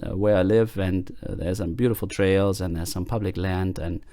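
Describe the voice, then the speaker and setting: A man in his late thirties with a German accent hosts a casual solo podcast, often pausing on fillers and starting his sentences over. His voice sits very low. The sound is dry and close, with no room around it. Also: steady tone, warm tone, no echo. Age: late 30s. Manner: hesitant, many fillers and restarts. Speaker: podcast host.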